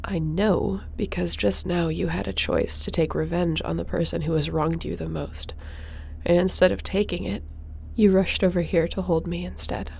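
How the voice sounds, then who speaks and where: Resolute space captain for sci-fi audio drama, female actor: loyal, earnest, fry-edged voice, slight background noise. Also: fairly low pitch, fairly even intonation, moderate pace, a little mellow. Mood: weary, tender. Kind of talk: sci-fi audio roleplay acting.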